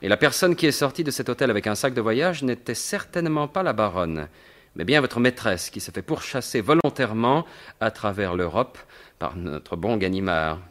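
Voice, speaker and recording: A suave gentleman thief from an old-fashioned radio mystery. The voice is on the low side and swoops expressively. He is sardonic, teasing and completely sure of himself. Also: expressive, moderate pace. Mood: sardonic, admiring. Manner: theatrical, sardonic, teasing, assured. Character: suave, mocking gentleman thief confronting a criminal baron. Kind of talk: French radio mystery drama acting in 1950s manner.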